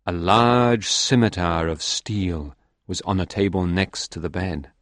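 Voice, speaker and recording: Slow, low-voiced soul, read by a man. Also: a bit bright, dry recording, studio quality. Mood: measured. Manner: slow, measured storytelling. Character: wandering soul of a young fisherman, greedy and proud. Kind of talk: audiobook reading.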